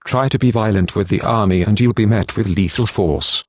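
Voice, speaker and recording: A man's voice: fairly low pitch, moderate pace, dry recording, lightly acted. A man voicing a stern government announcer, reading a scripted emergency alert in a formal, impersonal, authoritative manner.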